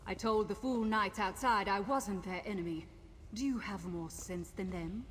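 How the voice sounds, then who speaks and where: Actress as a defiant sea elf in echoing space. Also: female voice, mid-range voice, moderate pace, natural voice. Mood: scornful, guarded. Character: defiant, scornful female sea elf warrior. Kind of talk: video game voice acting. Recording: echoing cave reverb, noisy game ambience.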